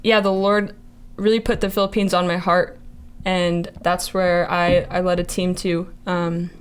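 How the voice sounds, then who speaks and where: A woman's voice: fairly low pitch, flat delivery, measured pace, clean tone. A young woman in her early twenties from Montana, sharing a faith testimony in casual chat, hesitant with many fillers. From Montana, USA. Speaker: ministry intern.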